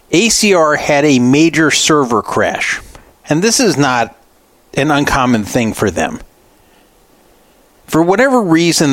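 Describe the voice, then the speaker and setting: Male, middle-aged talk radio host. Mid-range voice, opinionated and emphatic solo commentary, deliberate phrasing, very dry recording. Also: mid-range voice, dry recording.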